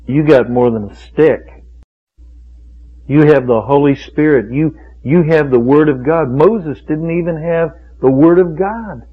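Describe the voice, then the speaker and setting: A man's voice: on the high side, measured pace, husky. A man preaching a fiery sermon, emphatic and rhetorical, driving points home with repeated phrases. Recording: tinny phone-like radio recording.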